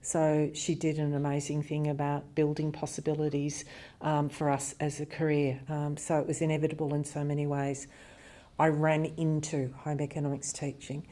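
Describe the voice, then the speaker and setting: A woman's voice: low voice, completely flat delivery, moderate pace, warm tone. A woman home economist speaking admiringly in an interview answer.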